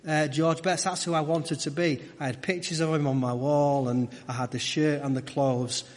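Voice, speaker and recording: A middle-aged man preaching a conversational sermon, halting and repeating his phrases as he goes. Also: on the high side, level tone. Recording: echoey hall with long reverb.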